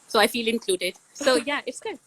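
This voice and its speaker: A woman in her forties with a loud, booming voice and a bright, forceful edge talks easily as a science teacher fielding casual questions. She laughs often between answers. She is recorded outdoors on a windy day. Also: moderate pace, low-quality recording. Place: outdoors in the wind.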